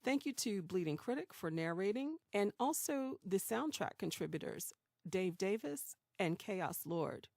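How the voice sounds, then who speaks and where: A woman announces as host of an audio theater show. Her voice has a marked creak, recorded very dry, and she moves between scripted credits and informal asides. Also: medium pitch, lively intonation, measured pace, a little mellow, no echo.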